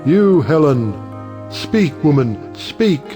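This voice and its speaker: A man's voice: a little high, very animated, takes it slowly, music in the background. A man playing a solemn, commanding judge in an audio play, declaiming with urgency. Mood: solemn, declamatory. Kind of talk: audio stage play acting.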